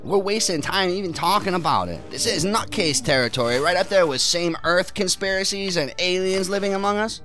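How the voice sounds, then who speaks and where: A man's voice: sits high, a little fast, hardly any pauses, bright, forceful tone, soft background music. An actor playing a cynical, irritated son of a wealthy man in an audio drama, with a scornful, dismissive manner. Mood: scornful amusement. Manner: scornful, dismissive, chuckling sarcasm. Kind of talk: naturalistic audio drama acting.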